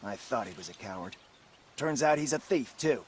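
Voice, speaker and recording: A man's voice: mid-range voice, animated, normal speed, a little hiss or hum, close to an everyday voice. A man playing a pragmatic, beleaguered caravan boss in a video game, frank and plain-spoken. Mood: dismayed.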